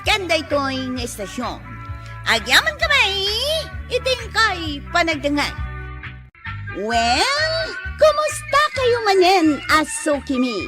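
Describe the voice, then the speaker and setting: A woman presents a radio drama in a theatrical style. Her voice is extremely high and very animated, delivered slowly over music. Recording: music behind the voice.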